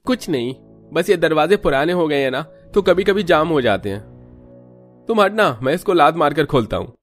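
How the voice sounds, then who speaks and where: A man plays a young husband from a cartoon family story. His voice sits a little high and sounds reassuring, over soft music. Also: expressive, moderate pace, lightly acted.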